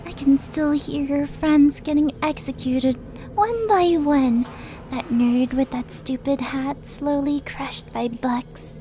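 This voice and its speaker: A woman's voice: high voice, slow pace, warm tone. A woman playing a sadistic, flirtatious demon princess in an ASMR roleplay audio drama, teasing and mock-sweet in a theatrical way. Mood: mocking, gloating. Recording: music under the voice.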